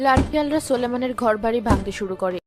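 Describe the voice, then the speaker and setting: A woman's voice: a little high, fast talker. Woman narrating a cartoon, music behind. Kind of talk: scripted story narration for a cartoon.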